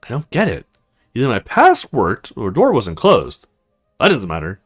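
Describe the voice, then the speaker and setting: Casting: male let's play host, casual and theatrical, highly animated pitch, faint music underneath. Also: normal speed. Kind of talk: casual game commentary and read-aloud of visual novel.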